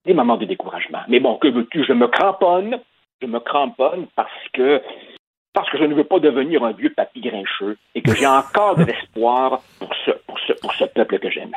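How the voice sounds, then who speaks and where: A man's voice: mid-range voice, animated, normal speed, slightly rough. A man, giving political commentary in an emphatic, repetitive way over the phone. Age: 50s. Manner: emphatic, repeats words, addresses host by name. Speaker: commentator guest. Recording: tinny phone line.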